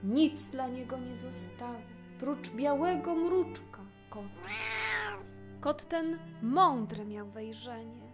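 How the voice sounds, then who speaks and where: Slow, high-voiced woman storyteller. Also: over music. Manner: expressive storytelling, measured. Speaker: narrator. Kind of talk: scripted fairy-tale narration in verse.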